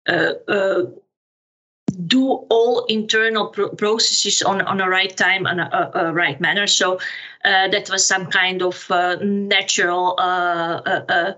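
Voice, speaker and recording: A middle-aged woman from Serbia with a Serbian accent, answering interview questions haltingly as she searches for words. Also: medium pitch, steady tone, pushed and bright, dull audio. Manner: halting, searching for words, non-native phrasing. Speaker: podcast guest, project management officer.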